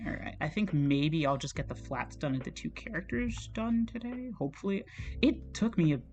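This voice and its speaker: A digital artist streaming, rambling casually while drawing, with a slightly strained, scratchy throat, chatty and self-conscious, laughing often and swearing casually. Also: mid-range voice.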